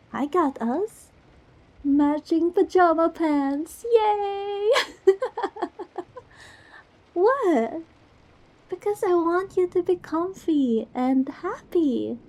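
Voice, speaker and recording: A woman's voice: high voice, mellow, full character voice. A woman playing a goofy, affectionate young girlfriend in an intimate ASMR roleplay, with a playful, teasing manner. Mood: playful, excited.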